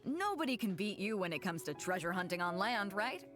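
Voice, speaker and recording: A hearty, confident female sea captain in a fantasy game. Her delivery is lively and bold, with music playing behind her. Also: medium pitch, brisk. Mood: easygoing, confident. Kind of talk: video game character dialogue.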